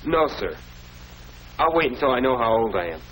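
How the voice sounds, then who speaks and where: Earnest American schoolboy, old film hiss. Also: male voice, mid-range voice, big pitch swings, moderate pace, fairly bright tone. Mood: earnest, curious. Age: teenager or young adult. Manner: earnest, deferential. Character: earnest, polite teenage American schoolboy, self-critical. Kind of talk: 1940s educational film acting. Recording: noisy old 1940s film soundtrack.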